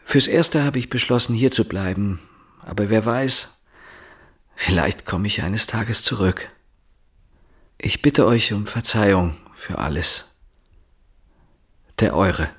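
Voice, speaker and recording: Tired husky detective in a radio play, low voice, deliberate and accusing, keeps pressing. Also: male voice, a bit bright, exaggerated voice. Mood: solemn reading. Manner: insistent, deliberate, bitterly rhetorical. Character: weary, accusing male police inspector interrogating and brooding. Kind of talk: German radio drama acting.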